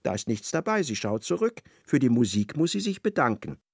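A man playing a wry literary narrator in a radio drama, expressive and ironic as he slips into a character's thoughts. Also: medium pitch, a little fast.

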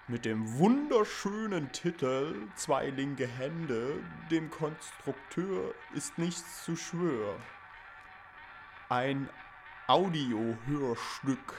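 A man hosting a live radio show, speaking haltingly and playfully repeating himself. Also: mid-range voice.

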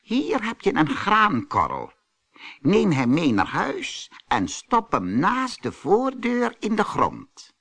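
A man's voice: sits high, wildly swooping pitch, takes it slowly, vocal fry, a bit bright, dry recording, voice pushed up, exaggerated voice. A man voicing a wise old woman in a fairy tale, kindly and helpful as she gives instructions. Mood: kindly, instructing.

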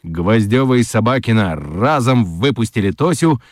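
A man reads scripted narration for a children's radio play. His voice sits on the low side, and he tells the story expressively. The sound is dry, with no room around it. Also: lively intonation, moderate pace, dry recording.

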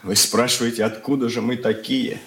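An actor playing an earnest, hurt young son in a radio drama, speaking in measured, heartfelt phrases. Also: male voice, mid-range voice, steady tone, gravelly, a bit bright. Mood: earnest reproach. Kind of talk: 1980s Soviet radio play acting.

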